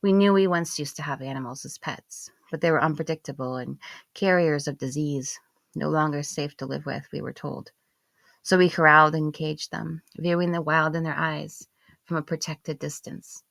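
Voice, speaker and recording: A woman reading scripted first-person literary narration in a measured, expressive way, with phrases falling away softly. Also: deep voice, creaky, no room sound. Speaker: audiobook narrator and author.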